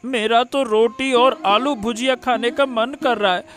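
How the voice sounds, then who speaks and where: A man playing a feverish, plaintive young boy in a cartoon story, pleading haltingly. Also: very high voice, steady tone, a bit bright. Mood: weakly stubborn. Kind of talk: Hindi cartoon story dialogue.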